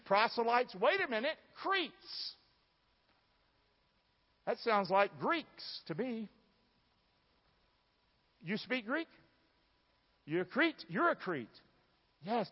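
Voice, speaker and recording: A man's voice: high-pitched, breathy edge. A man with a Southern American accent preaching a sermon in an emphatic, folksy way, putting questions to his congregation. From southern United States. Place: large church room. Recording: dull, reverberant large hall sound.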